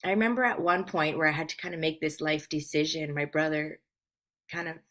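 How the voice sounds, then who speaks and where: A woman's voice: sits low, steady tone, fairly quick, fairly bright tone. An American woman in her thirties from Hawaii, telling stories casually as an interview guest in an informal, rambling, self-effacing way. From Hawaii, United States. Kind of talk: casual conversational storytelling.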